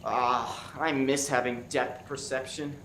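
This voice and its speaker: A man's voice: mid-range voice, steady tone, fairly bright tone. A man playing an earnest, dramatic FBI agent solving a murder in a comic radio play, recorded in an echoey room with background noise. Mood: wry, relieved. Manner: deliberate, dramatic deducing. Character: one-eyed male FBI agent turned earnest, dramatic sleuth. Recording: roomy, echoey stage with clear background noise.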